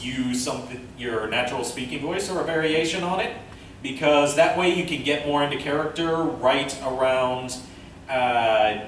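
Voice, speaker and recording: A man presenting an informal convention panel, speaking haltingly as he thinks aloud. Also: on the high side. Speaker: panel presenter, amateur director and voice actor. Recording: echoey room from far off, faint noise.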